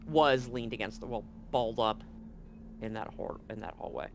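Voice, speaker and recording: A man's voice: medium pitch, moderate pace, slight background noise. A man speaking casually and with some swearing as game master of a tabletop role-playing game. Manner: casual, profane.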